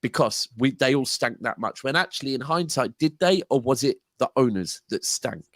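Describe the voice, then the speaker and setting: A man on a football chat show, animated and quick to cut in, often saying bro. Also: mid-range voice, no echo, clean studio sound. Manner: animated, interrupting, says bro. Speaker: football podcast co-host. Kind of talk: casual football debate.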